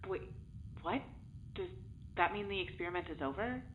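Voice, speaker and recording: A woman's voice: lowish voice, expressive, moderate pace, lightly acted. A woman playing a snarky, defiant test subject in an audio drama, speaking with dry sarcasm. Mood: mocking, suspicious. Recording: tinny phone-like channel, faint noise.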